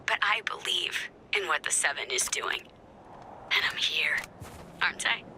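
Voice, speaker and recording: A woman's voice: mid-range voice, lively intonation, measured pace, bright, forceful tone, slight background noise. A woman voicing an earnest, resolute resistance fighter in a video game, speaking sincerely and with emphasis. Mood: vulnerable, sincere.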